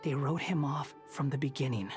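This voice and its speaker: A man voicing a shrewd, self-assured young strategist in a video game, explaining things in a measured way. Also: mid-range voice, darkish tone, soft background music, lightly acted.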